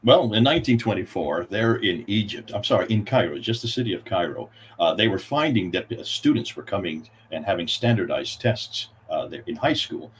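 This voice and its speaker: A man's voice: on the low side, lively intonation, a little fast, breathy edge. A man speaking on a live stream, making impassioned, emphatic arguments with rising appeals. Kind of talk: heated debate talk.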